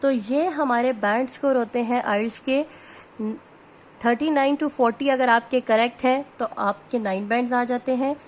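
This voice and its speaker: A woman's voice: on the high side, moderate pace, a little hiss or hum. A woman teaching a test-prep lesson. She explains each point step by step, mixes in English phrases and keeps checking in with her listeners. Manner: switches between Hindi-Urdu and English phrases.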